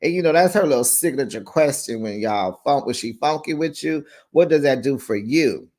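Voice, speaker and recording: A man in an easy, gossipy recap. His voice sits at an ordinary middle pitch. He jumps up in pitch to act out what others said, then drops back to his own talk. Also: animated, normal speed, a little mellow. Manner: chatty, acts out quotes with raised pitch, laughs often. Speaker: recap show host. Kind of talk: casual recap commentary.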